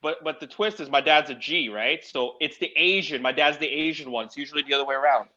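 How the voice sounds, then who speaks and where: A man whose first language is Russian, a guest DJ telling stories in a relaxed, casual interview over a home video call. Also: on the high side, quick pace, lo-fi sound. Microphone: home video call mic.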